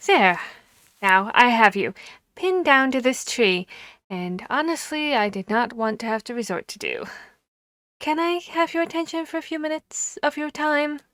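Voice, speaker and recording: A woman's voice: sits high, animated, fairly bright tone, no echo. Woman voicing a courteous, commanding harpy, close and dry. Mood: commanding, teasing. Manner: formal, deliberate phrasing with hesitant pauses. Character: harpy woman seeking a human mate, commanding yet courteous and bashful. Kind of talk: ASMR audio roleplay.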